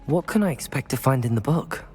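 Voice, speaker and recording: Guy as young wizard student in a game, inquisitive and earnest, normal pitch, music behind. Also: expressive, fairly quick, darkish tone, natural voice. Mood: curious, wary. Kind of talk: video game character acting.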